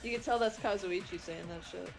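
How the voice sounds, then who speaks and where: A young woman chats casually as co-commentator on a game stream. Her voice is mid-pitched, and game music plays clearly behind her. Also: a little fast, poor audio. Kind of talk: casual let's play chat.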